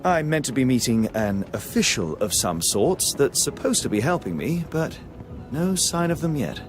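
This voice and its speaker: An actor playing an eager, polite young gentleman, chatty and keen to help, in scripted game dialogue. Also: medium pitch, very animated, normal speed, over music, lightly acted.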